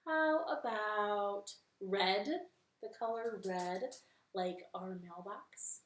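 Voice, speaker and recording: A woman's voice: mid-range voice, drawn-out words, reverberant. A woman hosting a library storytime, reading to children in a cheerful, sing-song way from her living room.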